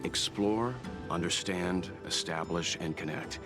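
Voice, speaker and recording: A deep, earnest crew leader. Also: male voice. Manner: earnest, deliberate. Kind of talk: video game voice acting. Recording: game audio over music.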